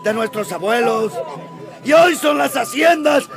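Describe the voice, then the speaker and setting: An actor playing a fiery revolutionary priest of nearly sixty, rallying villagers with declamatory, rousing oratory in a radio drama. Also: male voice, very high voice, expressive, vocal fry, soft background music, heavily acted. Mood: indignant rousing. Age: older. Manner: declamatory, rousing, slow emphatic delivery.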